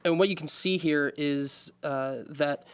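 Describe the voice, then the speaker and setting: A man's voice: fairly high pitch, unhurried. A man who sits on a public access station's board, speaking earnestly at a community forum and often restarting his sentences. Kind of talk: panel discussion.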